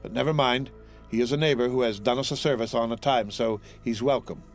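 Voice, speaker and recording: A man's voice: medium pitch, fairly quick, soft background music, close to an everyday voice. A man voicing an earnest, devoted young sailor in a storybook, speaking with ardent courtesy. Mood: courteous, guarded.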